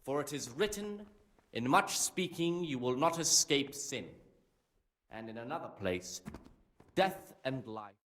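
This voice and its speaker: Stern monk, echoey room, solemn declamation, swinging pitch, pious, game drama. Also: male voice, expressive, a little slow, a bit bright. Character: stern pious monk reading out monastery rule. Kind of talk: solemn scripture reading in game cutscene.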